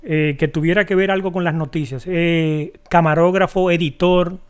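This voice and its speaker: A middle-aged man with a Dominican accent, telling stories as a podcast guest in a casual, animated way, with many fillers and restarts. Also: a little high, moderate pace, clean tone. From Dominican Republic. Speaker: podcast guest, video editor. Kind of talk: casual storytelling interview.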